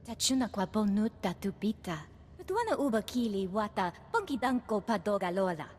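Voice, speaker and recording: An actress playing an animated female alien in a video game, speaking a bouncy invented tongue. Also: medium pitch, a little fast, in a large hall, slight background noise, close to an everyday voice.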